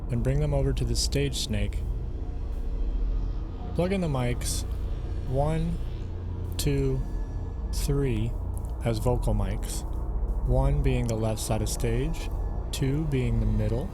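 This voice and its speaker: A man narrates a technical how-to in a matter-of-fact way, laying things out step by step. His voice sits at an average pitch for a man. Music plays clearly underneath him. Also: takes it slowly, pauses often. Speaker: sound technician giving setup instructions. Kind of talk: scripted instructional narration. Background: music playing under the voice.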